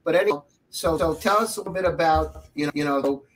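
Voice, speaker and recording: A man's voice: fairly high pitch, fast talker. A man hosting an interview over a video call, often repeating and restarting words as he asks questions. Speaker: podcast host.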